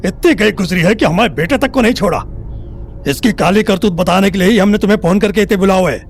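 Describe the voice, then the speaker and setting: Middle-aged tyrant father for audio drama, male performer: gravelly, raised pitch, contemptuous and menacing. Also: quick pace. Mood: furious, contemptuous. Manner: bullying, insulting, threatening. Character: abusive, foul-mouthed, furious family patriarch. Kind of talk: Hindi audio drama acting. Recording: music bed under the voice.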